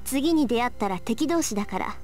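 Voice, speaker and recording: A proud, cool teenage girl from a visual novel, voiced by a woman. Her speech is high, very rapid and lively in pitch, brisk and assertive over soft music. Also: close to an everyday voice. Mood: cool, businesslike. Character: sharp, proud, businesslike teenage girl mage. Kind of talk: Japanese visual novel voice acting.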